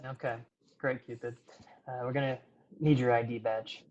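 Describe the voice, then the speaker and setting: A man's voice: medium pitch, steady tone, moderate pace, a little mellow, close to an everyday voice. A man acting a stern, blunt thirty-year-old efficiency expert in a comedy table read, scolding in a dry manner. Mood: firm, dismissive. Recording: dull, lo-fi sound.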